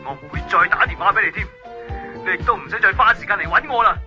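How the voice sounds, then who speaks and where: A woman's voice: medium pitch, fast talker, bright, forceful tone, lo-fi sound, soft background music. An actress playing a distraught, pleading young woman in hiding, in a Cantonese radio drama with a Hong Kong accent. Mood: desperate refusal.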